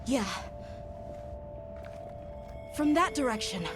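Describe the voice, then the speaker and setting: An actress playing an anxious but determined child seeking the way home in a video game, with loud music. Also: female voice, high voice, lively intonation, brisk. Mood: hopeful, resolved. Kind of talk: video game cutscene acting.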